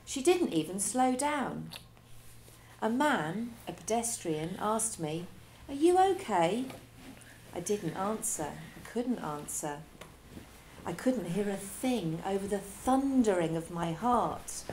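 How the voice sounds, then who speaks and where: A female teacher reading a children's story aloud, with expressive delivery and dramatic pauses. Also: mid-range voice, slight background noise.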